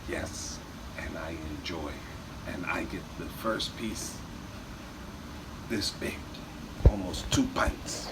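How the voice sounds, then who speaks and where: A man's voice: low voice, takes it slowly, creaky, heavy background noise, full character voice. A man playing a boastful, melodramatic Bulgarian war veteran telling rambling tales in broken English. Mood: boastful, dramatic. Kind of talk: improvised comic in-character storytelling.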